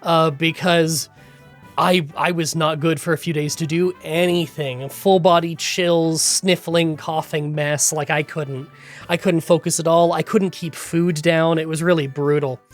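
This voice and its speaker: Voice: medium pitch, steady tone, unhurried. Nasal gaming YouTuber with a cold, stuffy and chatty, faint music under the voiceover. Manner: chatty, rambling storytelling. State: congested from a cold. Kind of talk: game challenge voiceover recap. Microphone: close voiceover mic.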